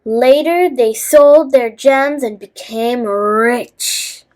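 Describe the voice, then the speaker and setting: A woman reading a scripted children's story, with a halting delivery that stumbles over words. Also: on the high side, a little mellow.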